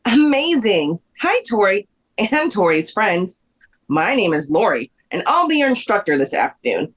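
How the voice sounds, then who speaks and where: A woman's voice: medium pitch, sing-song, normal speed. A woman playing a helpful museum workshop instructor, welcoming and explanatory, in a table read.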